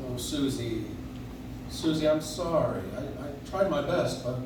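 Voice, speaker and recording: A man playing a kindly, gentle elderly Santa figure in a live radio play, courteous and soft-hearted, recorded from the audience. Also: on the high side, a little slow, lightly acted. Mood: apologetic. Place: coffee house stage, recorded from the audience. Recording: distant audience recording, echoey, noisy room.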